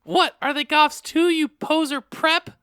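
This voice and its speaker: A woman plays an angry teenage goth girl in a comic audiobook. Her voice is high and sharp, snippy and full of scorn, recorded very dry. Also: steady tone, measured pace, no room sound. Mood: angry, scornful.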